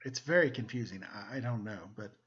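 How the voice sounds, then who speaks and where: A man's voice: lowish voice, a little fast, a bit bright, dull audio. A man hosting story time, reading a picture book aloud to children with playful, self-deprecating, chatty asides.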